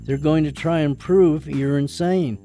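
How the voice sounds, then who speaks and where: A man's voice: fairly high pitch, fairly even intonation, a little slow, music in the background. A man playing a glum, hesitant lawyer's son in a radio drama. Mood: uneasy. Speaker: amateur radio drama actor.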